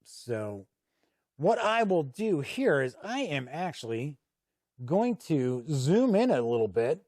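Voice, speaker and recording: Slow-talking guy with a slightly higher voice doing a review, explains stuff with pauses, dry close sound. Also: very animated, a little mellow, dry recording. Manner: measured, explanatory, with deliberate pauses. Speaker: host and reviewer. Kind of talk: unscripted product review walk-through.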